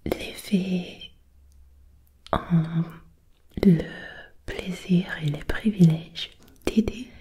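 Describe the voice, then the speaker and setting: A woman playing a kindly good fairy in an ASMR fantasy roleplay, whispering extremely slowly. Her low, breathy delivery is gentle and curious, with halting phrases that welcome a lost traveller. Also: full character voice. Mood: kindly, reassuring.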